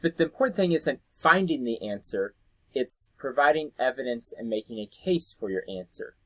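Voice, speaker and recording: A man's voice: medium pitch, big pitch swings, normal speed, fairly bright tone, no echo, low-quality recording. A man giving an informal instructional talk, explaining as a teacher would and thinking aloud as he goes. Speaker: science teacher educator presenting to teachers.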